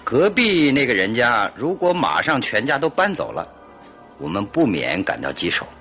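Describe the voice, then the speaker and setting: An actor playing a shrewd, authoritative detective in a radio drama, deliberate and probing. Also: male voice, mid-range voice, wildly swooping pitch, pushed and bright, faint music behind, exaggerated voice. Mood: decisive.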